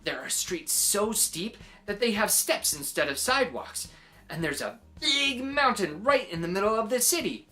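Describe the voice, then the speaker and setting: A woman reading a children's story aloud in expressive, acted-out narration. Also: mid-range voice, normal speed, faint music behind.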